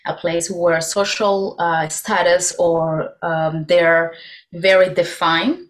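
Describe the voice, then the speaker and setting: A woman's voice: lowish voice, little pitch movement, slow pace. A woman from Peru, telling stories in a chatty way as a podcast guest. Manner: chatty, storytelling with small restarts. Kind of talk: casual interview chat.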